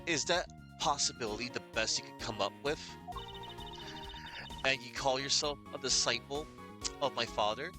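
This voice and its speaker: A man reading the lines of a haughty, sharp-tongued young female prosecutor from a game. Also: medium pitch, moderate pace, long pauses, over music, lightly acted. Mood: scornful. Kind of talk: reading game dialogue aloud.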